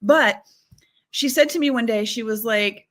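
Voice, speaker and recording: A bright, forceful woman with a slightly high voice tells stories as a talk-show guest. She is from Massachusetts but has a neutral accent. She is animated and laughs often. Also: a little slow. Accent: none, lost her Boston-area accent. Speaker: guest, psychic medium. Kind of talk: casual interview chat.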